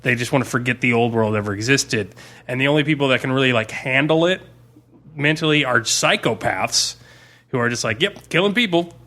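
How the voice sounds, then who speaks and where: A man's voice: mid-range voice, brisk, pushed and bright. A man chatting casually as a podcast co-host, rambling with slangy, emphatic enthusiasm. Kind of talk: casual podcast chat about zombie fiction and comics.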